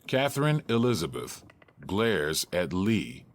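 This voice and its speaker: A man acting as the narrator of an audio drama, reading scripted stage directions deliberately. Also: sits low, sing-song, slight background noise, exaggerated voice. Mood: neutral.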